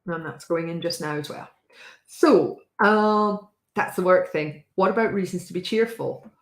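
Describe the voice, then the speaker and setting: A woman hosting a casual talk with a wry, chatty manner and a slightly hoarse voice, often pausing with fillers. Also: on the low side, roomy sound. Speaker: host. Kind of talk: casual live-stream talk.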